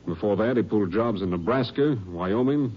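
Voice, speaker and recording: An actor playing a grim, determined Texas Ranger captain in an old radio crime drama, stern and commanding. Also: male voice, lowish voice, expressive, normal speed. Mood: stern resolve. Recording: muffled, hissy old radio recording.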